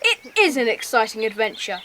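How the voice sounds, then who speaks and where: A woman's voice: a little high, big pitch swings, moderate pace, pushed and bright. A woman playing an earnest, confident, bossy boy gang leader in a children's audio drama. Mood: pleased. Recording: noisy cassette transfer.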